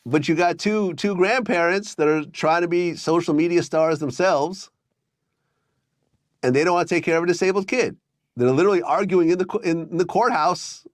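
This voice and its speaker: A man's voice: a little high, normal speed, no room sound. A man in his thirties in a small studio, talking through celebrity gossip in a matter-of-fact way. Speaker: podcast host. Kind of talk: gossip commentary in a podcast.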